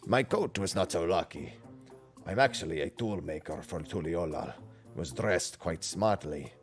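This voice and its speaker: A man acts out a scaly male tradesman from a fantasy game, proud and courteous though down on his luck. The voice is dropped deep and hushed, with formal, rueful phrasing over music. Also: expressive, a little slow.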